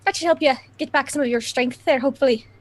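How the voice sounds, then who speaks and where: A woman's voice: high-pitched, fast. A woman playing a tabletop game, speaking casually and chattily. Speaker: player.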